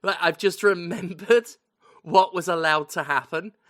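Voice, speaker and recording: A podcast co-host talking casually and swearing freely, stretching out words for emphasis. The voice sits at an ordinary middle pitch and sounds like someone still getting over an illness. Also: measured pace, fairly bright tone. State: recovering from illness. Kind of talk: casual chat.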